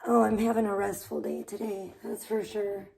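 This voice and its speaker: A woman live streamer, hoarse and losing her voice, chatting bubbly and rambling with her viewers while making tea in her kitchen. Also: medium pitch, steady tone, normal speed, crowd noise. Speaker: live streamer greeting chat. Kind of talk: casual live stream chat.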